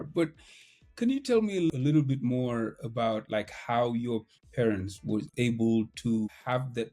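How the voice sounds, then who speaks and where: Mellow-voiced male podcast host. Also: mid-range voice. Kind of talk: introducing a guest, then asking questions.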